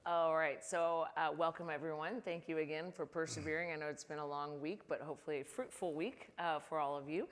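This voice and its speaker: A woman's voice: low-pitched, steady tone, brisk, few pauses, a little hiss or hum. A woman business leader speaking on a conference panel, upbeat and conversational, sharing a personal story. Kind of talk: panel discussion. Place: conference stage.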